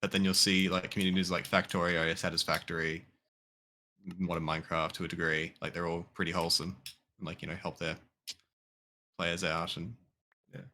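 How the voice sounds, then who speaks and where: A man's voice: sits low, little pitch movement, brisk, pauses often, pushed and bright. A man chatting casually while streaming a modded game, rambling and swearing loosely. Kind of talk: casual gaming chat.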